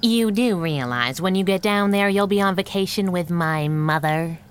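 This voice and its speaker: An actress playing a wry, sceptical but affectionate wife in a 1930s-style radio drama, sassy and teasing. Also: female voice, fairly low pitch, moderate pace, a little hiss or hum, close to an everyday voice.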